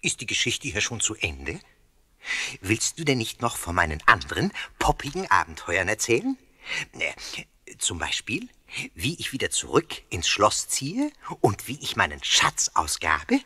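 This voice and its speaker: An actor voicing a cheeky, boastful little ghost in a children's audio play, husky and exuberant. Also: male voice, lowish voice, sharp tone, heavily acted. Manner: exuberant, swooping, self-important.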